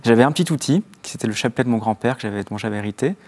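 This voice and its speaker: Soft-spoken hesitant young man. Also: medium pitch, expressive, rapid-fire. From Belgium. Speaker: interview guest. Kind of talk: reflective faith testimony interview.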